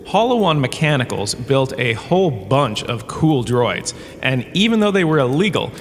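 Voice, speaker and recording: A man's voice: mid-range voice, a bit bright. Man playing a pompous professor in a reverberant cave. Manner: dramatic, self-amused, breezily dismissive. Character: pompous, washed-up holodrama star turned cowardly, flippant professor. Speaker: lecturer in a fictional audio drama. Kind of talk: comic theatrical lecture narration. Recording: long cave reverb, background ambience noise.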